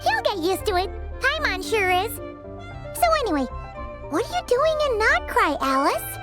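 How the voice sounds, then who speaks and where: A woman voicing a small, childlike, excitable fairy companion in a video game, with a squeaky, chirpy voice. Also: moderate pace, over music. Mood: chatty. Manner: refers to self in third person, chirpy.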